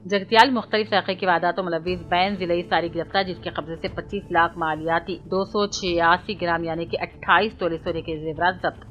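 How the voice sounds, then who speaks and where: A woman's voice: on the low side, fairly bright tone, dull audio. A woman news reader delivering a scripted bulletin in a formal manner, reading item after item. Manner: formal, reading out items one after another. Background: background music.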